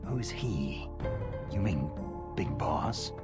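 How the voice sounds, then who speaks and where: An actor playing a gruff, weary soldier hero in a video game, with a gravelly, hushed voice and a terse, brooding delivery. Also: male voice, very deep voice, expressive, extremely slow, over loud music, heavily acted. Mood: stunned. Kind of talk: dramatic video game cutscene voice acting.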